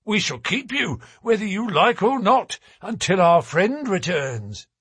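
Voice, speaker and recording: A man's voice: high voice, sing-song, measured pace, a bit bright, breathy edge, no room sound, clean studio sound, voice pushed up, full character voice. A man doing a bluff, hearty police detective.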